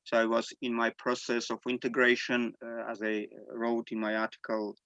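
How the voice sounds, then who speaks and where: Gravelly, flat-voiced man on a call. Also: mid-range voice, dry recording, highs cut off. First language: Armenian. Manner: halting, many fillers and restarts. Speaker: panel speaker giving testimony. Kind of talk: unscripted spoken testimony.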